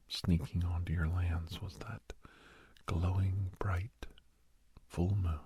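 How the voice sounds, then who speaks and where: A man playing a kindly doctor in an ASMR roleplay, speaking in a hushed, breathy whisper with pauses. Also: sits low, no room sound, exaggerated voice. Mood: hushed, knowing. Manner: hushed, unhurried, with pauses and soft chuckles. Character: kindly doctor advising a young werewolf, old family friend.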